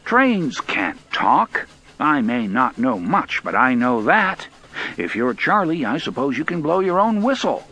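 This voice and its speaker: A man playing a stern but kindly railway engineer, speaking out loudly and emphatically, in a children's story. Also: medium pitch, big pitch swings, unhurried, dull audio, full character voice. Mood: stern, sceptical.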